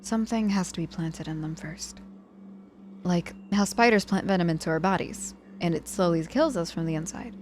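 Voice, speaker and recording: A woman acting a proud, guarded young witch hurt in hospital, halting and grudgingly tender, in an intimate ASMR audio roleplay. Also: fairly low pitch, slight background noise, close to an everyday voice. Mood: quiet, confiding.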